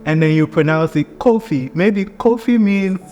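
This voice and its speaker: A man from Ghana with a fairly high voice, answering questions as a guest. He sounds easygoing, fills his speech with "like" and likes to act out what other people say. Faint music runs underneath. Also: a little slow, highs cut off. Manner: relaxed, laughs, uses "like" a lot, acts out little quotes. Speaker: graduate student guest. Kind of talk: casual interview chat.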